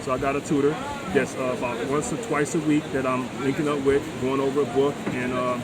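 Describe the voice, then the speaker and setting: A man's voice: mid-range voice, level tone, brisk. Middle-aged American male interview guest, mid-range voice, earnest and conversational, recorded outdoors with heavy background noise. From United States. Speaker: vlogger and retired military veteran, interview guest. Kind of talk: casual interview talk. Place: open-air beachside deck. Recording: loud wind and ambient outdoor noise.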